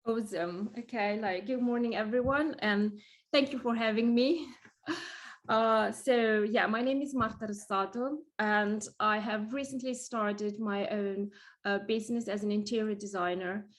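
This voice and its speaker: A woman's voice: medium pitch, steady tone, unhurried. Chatty Italian woman on a call. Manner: chatty, many fillers and restarts. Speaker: guest interior designer. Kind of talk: casual video call chat. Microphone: video call.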